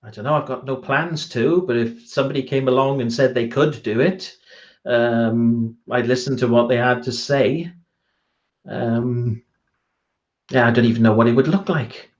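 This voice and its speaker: A Welsh man chats hesitantly over a video call, halting often with ums and restarting his sentences. His voice sits at an ordinary pitch. The call audio comes through somewhat dull and lo-fi. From Wales. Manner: hesitant, many ums and restarts, self-deprecating. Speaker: author guest. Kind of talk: casual online chat interview.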